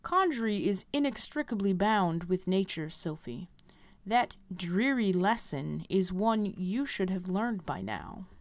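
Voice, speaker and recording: A woman's voice: medium pitch, animated, no echo. Woman reading as a solemn, stern guild master. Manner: measured, formal, admonishing. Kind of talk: reading game quest dialogue.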